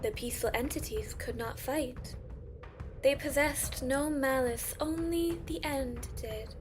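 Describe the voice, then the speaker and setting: A woman playing a solemn, ancient lore-keeper who gravely tells old tales in a fantasy audio drama. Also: sits high, unhurried. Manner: grave, storytelling. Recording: music behind the voice.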